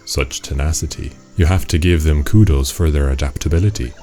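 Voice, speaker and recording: A man's voice: rumbling low, brisk, mellow, faint music behind. A man acting in a radio play as a stern, formal imp, a pedantic and commanding taskmaster. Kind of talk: radio drama dialogue.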